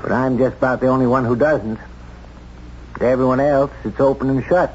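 Through the dull, slightly hissy sound of a 1970s radio drama comes a small-town American sheriff, acted by a man. He is plain-spoken and folksy, halting when he opens up, candid and unsure of himself, in a medium-pitched voice. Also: fairly even intonation, lightly acted. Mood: frank, rueful.

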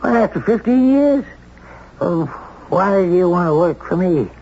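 An actor playing a brash, blustery older business tycoon with a brusque, commanding manner, in 1970s radio drama. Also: male voice, sits high, expressive, measured pace, darkish tone. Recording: muffled 1970s radio recording, faint noise.

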